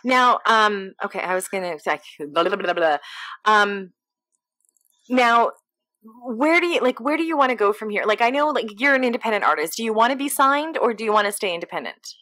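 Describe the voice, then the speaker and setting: A woman's voice: mid-range voice, lively intonation, brisk, bright, forceful tone. A young woman hosting a casual interview, upbeat and affirming, with lots of fillers. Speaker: host.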